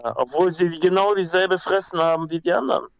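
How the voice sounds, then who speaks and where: A man's voice: sits high, brisk, gravelly, dull audio, crowd noise. A young man from Peru, a guest talking casually and bluntly, with slang and many restarts. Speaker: guest, MMA fighter. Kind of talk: casual conversation.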